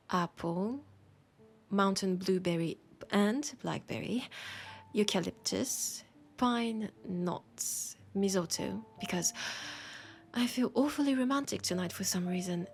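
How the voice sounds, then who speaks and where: Music plays behind a woman acting as a bookshop keeper with a French accent. She speaks slowly and softly at an ordinary female pitch, teasing and curious, in an intimate audio roleplay monologue that often drops toward a whisper. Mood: playful, curious. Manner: soft, teasing, musing, often dropping to a whisper.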